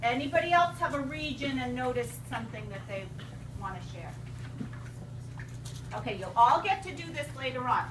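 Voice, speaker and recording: A woman teaching an elementary class in an interactive read-aloud, instructing, asking questions and repeating students' answers back. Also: high-pitched. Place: indoor school classroom. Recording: roomy, distant, noisy classroom recording.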